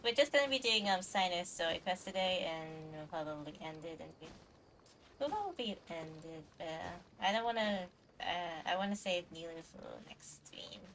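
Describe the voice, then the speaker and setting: A woman's voice: lowish voice, lively intonation, moderate pace, a bit bright, a little hiss or hum. A woman livestreamer giving casual commentary as she plays a game, with playful murmured asides. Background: game music.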